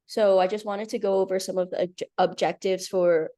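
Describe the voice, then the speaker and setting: Nervous young woman presenting, mellow voice. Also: medium pitch, steady tone, normal speed. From Hong Kong. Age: 20s. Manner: earnest, nervous, with frequent ums. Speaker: nursing graduate presenter. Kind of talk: presentation over video call.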